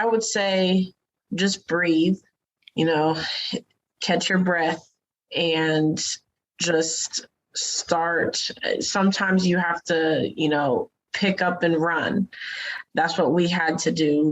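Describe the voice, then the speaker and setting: Woman guest, slow and calm, lowish voice, gives advice. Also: rough recording. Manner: calm, measured advice with pauses. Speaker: guest, caregiver. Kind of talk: podcast interview answer.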